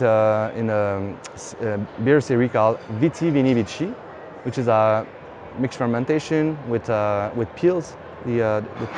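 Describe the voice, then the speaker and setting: Quebec French man, halting speech. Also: medium pitch, a little mellow, highs cut off. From Quebec, Canada. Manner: halting, many fillers and restarts. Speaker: brewery founder. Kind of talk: on-camera interview answer. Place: indoor beer festival hall. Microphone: lapel mic. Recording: hall noise behind.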